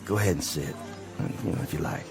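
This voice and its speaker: A kindly, worn-out old Confederate sergeant in a radio play. His voice sits very low. He talks in an unhurried, fatherly, folksy way over clearly audible music. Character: weary, kindly older Confederate sergeant on a crutch. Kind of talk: radio drama acting. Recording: music behind the voice.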